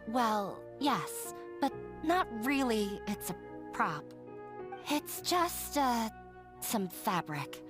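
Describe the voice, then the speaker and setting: A woman's voice: on the high side, very animated, very slow, heavily acted. A woman voicing a shy, self-doubting young prop maker in a video game, nervous and hesitant. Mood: flustered. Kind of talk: animated video game dialogue. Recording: music playing behind the voice.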